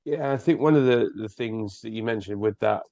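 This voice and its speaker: A man's voice: on the low side, fairly quick, gravelly, mellow, dry recording. A man hosting a podcast, asking long, hedging questions with restarts. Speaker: podcast host. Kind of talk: conversational interview questions. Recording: dry, dull lo-fi audio.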